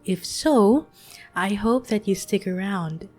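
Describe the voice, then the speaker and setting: A woman in her late thirties, speaking gently and soothingly in a reflective monologue as a podcast host. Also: medium pitch, takes it slowly, soft background music. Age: 30s. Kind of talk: soft-spoken reflective monologue.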